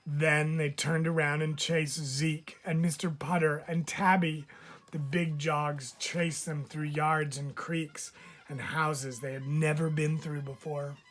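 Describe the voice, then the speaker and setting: A man's voice: fairly high pitch, level tone, slow pace, fairly bright tone. Man reading a children's storybook aloud.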